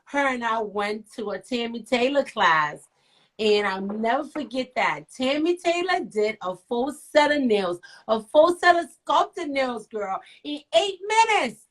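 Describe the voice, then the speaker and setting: A middle-aged woman from Liberia, a nail professional and author, telling her story as an interview guest in a lively, teasing way. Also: on the high side, a little slow. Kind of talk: casual interview.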